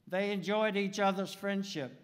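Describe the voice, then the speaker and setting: An American man in his seventies preaching a sermon from a pulpit in a deliberate, emphatic manner with dramatic pauses. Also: sits high, steady tone, smooth. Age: 70s. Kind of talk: sermon with anecdotes. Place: church sanctuary, speaking from the pulpit. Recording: reverberant church hall, long echo.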